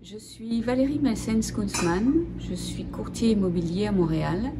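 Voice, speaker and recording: A middle-aged woman from Lorraine answering interview questions, measured and pausing with hesitations. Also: mid-range voice, lively intonation, over music. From Lorraine, France. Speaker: interviewee, real estate broker.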